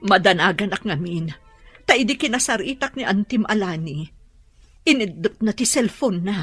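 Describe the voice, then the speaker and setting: A woman's voice: medium pitch, lively intonation, normal speed, fairly bright tone, husky, dry recording, soft background music. A woman playing an anxious middle-aged birth mother longing for her child, pleading emotionally in an Ilocano radio drama, with an Ilocano accent.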